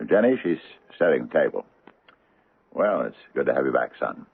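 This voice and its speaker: An actor playing a protective older country doctor and father in a radio drama, measured and sometimes hesitant but firm and stern. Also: rumbling low, very animated, vocal fry, slightly rough, heavily acted. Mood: mild, evasive. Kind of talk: 1970s radio drama acting. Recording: muffled vintage radio broadcast, narrow band.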